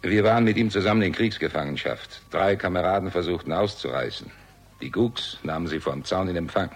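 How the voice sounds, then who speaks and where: A very low, husky male voice playing a bitter old soldier in a radio crime play. He is curt and evasive, with a cynical, sardonic edge. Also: a little fast, faint music behind. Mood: grim, bitter. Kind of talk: German radio crime drama acting.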